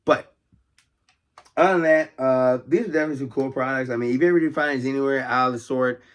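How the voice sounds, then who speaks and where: A man's voice: mid-range voice, quick pace. An American man hosting a casual, unscripted vlog as a toy collector, talking in a rambling, conversational way and often repeating himself. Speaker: toy collector and video host.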